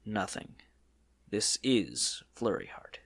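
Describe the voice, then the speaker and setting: Lowish, animated male story narrator. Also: slow pace, gravelly, husky.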